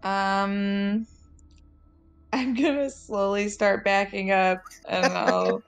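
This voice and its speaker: Female tabletop player, smooth clear voice, unhurried and hesitant, narrating her own moves casually. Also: mid-range voice, animated. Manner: hesitant, piecing actions together. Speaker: player in a tabletop roleplaying game.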